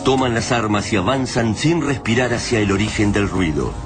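Clear music plays under a very low-voiced man with an Argentine accent. He reads scripted narration as the narrator of a radio drama. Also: normal speed. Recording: music behind the voice.

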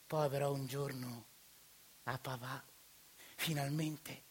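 A man with a Roman accent telling a story in a quiet, intimate theatrical monologue. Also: medium pitch, fairly even intonation, takes it slowly. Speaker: actor narrating a monologue.

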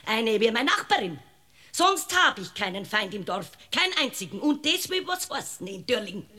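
A woman's voice: a little high, very animated, moderate pace, sharp tone, heavily acted. An actress playing an indignant, gossipy village woman with a Bavarian accent in a comic radio play, talking on self-righteously. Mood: aggrieved innocence. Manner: voluble, self-righteous, complaining. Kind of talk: comic radio courtroom drama.

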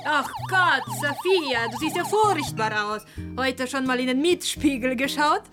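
A chirpy, bungling lady doctor in an audio play. She speaks very high and animatedly, flippant and scatterbrained, over music. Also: lively intonation, a little slow. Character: chirpy, clumsy, joking woman posing as doctor. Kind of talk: radio drama acting.

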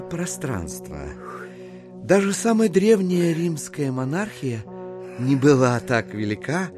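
A man playing an ingratiating gentleman swindler in an audio drama, speaking in florid, roundabout, hesitant phrases. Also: a little high.

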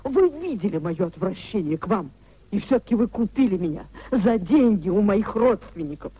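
An actress in a 1950s Russian radio play as a nobleman's dignified, wronged wife, speaking in a measured, theatrical way. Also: medium pitch, sing-song, breathy edge. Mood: reproachful. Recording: dull, muffled 1950s radio recording.

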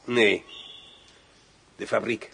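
An actor playing a wary, suave general in a radio drama, speaking in a theatrical, insinuating way. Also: male voice, on the low side, little pitch movement, very slow, gravelly, bright, forceful tone, husky, heavily acted. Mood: cunning, businesslike. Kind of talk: Dutch radio drama acting. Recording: noisy old radio drama recording.

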